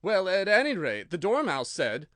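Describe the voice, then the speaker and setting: A man's voice: high-pitched, wildly swooping pitch, moderate pace, a bit bright, no room sound, studio quality, pitched above the performer's own voice, exaggerated voice. A man voicing a nervous, fidgety hat-maker who pleads in a trembling voice in a storybook reading. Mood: anxious.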